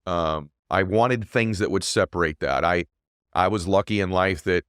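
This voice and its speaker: A middle-aged man with a deep voice, hosting a show and giving an emphatic motivational monologue that often asks "right?". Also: moderate pace, a bit bright, smooth, no room sound, clean studio sound. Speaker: podcast host.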